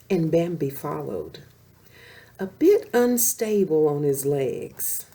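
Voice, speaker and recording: Woman, bedtime storyteller, very varied intonation, soft-edged mellow voice, expressive reading for little ones. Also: fairly low pitch. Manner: animated, slow-paced reading addressed to young children. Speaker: children's storyteller.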